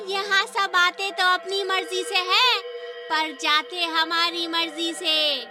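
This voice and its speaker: A woman voicing a playful, taunting young witch girl in an animated horror-comedy story. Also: very high voice. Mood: taunting, gleeful. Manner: slow drawn-out taunting, theatrical. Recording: music behind the voice.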